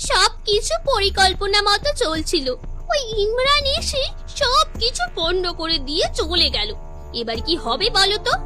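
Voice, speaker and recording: A woman's voice: extremely high pitch, sing-song, unhurried, pushed and bright, soft background music, exaggerated voice. A woman voicing a scheming, greedy young wife in a cartoon, dramatic and whiny, wheedling and haughty. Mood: plotting, worried. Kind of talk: cartoon voice acting.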